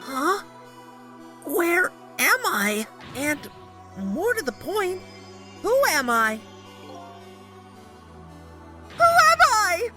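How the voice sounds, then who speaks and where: A tiny, devoted dog spirit for a game dub. The voice is extremely high and leaps all over the place, earnest and excitable, with music underneath. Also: female voice, slow pace, long pauses, heavily acted. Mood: confused. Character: eager loyal little Pomeranian dog spirit. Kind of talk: animated game fandub acting.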